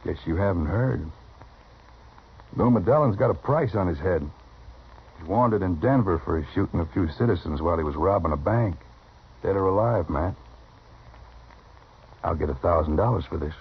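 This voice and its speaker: Cold proud gunslinger, really low voice, unhurried and menacing, dull old radio western sound. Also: male voice, lively intonation, husky, heavily acted. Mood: cool, untroubled. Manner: unhurried, menacing, matter-of-fact. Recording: dull, noisy vintage radio recording.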